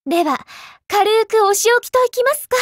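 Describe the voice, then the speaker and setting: A woman's voice: very high-pitched, animated, normal speed, dry recording, clean studio sound. A woman voicing a stern, polite young female teacher and fighter, speaking formal, composed, admonishing battle lines for an anime game.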